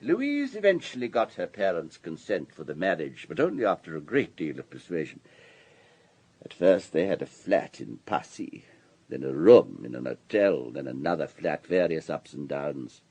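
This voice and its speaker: A wealthy man in his sixties in a radio drama. His husky voice rises and falls animatedly as he holds forth, urbane and paternal, like one used to arranging other people's lives. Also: a bass voice, sing-song, moderate pace, darkish tone, heavily acted. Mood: reflective, worldly. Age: 60s. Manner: urbane, discursive, worldly. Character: wealthy older businessman, urbane, paternal ex-husband.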